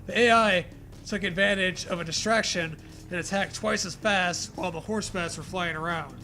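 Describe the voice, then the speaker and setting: A man with a high, bright voice reads a story aloud as a comedy co-host. He keeps stepping out of the text for casual, profane riffs. Light music plays behind him. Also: moderate pace. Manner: jokey, sweary, breaks off to riff.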